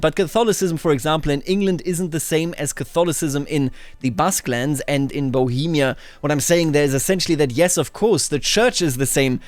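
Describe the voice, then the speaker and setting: A man's voice: a little high, dry recording. A man hosting a game playthrough, telling a roleplay story in a musing, discursive, explanatory way. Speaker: host of a video game playthrough series.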